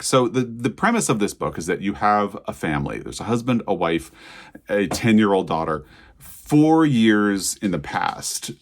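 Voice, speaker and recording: Low-pitched American man, chatty book reviewer. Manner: conversational, digressive, thinking aloud. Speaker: book reviewer and channel host. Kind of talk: casual chatty book vlog.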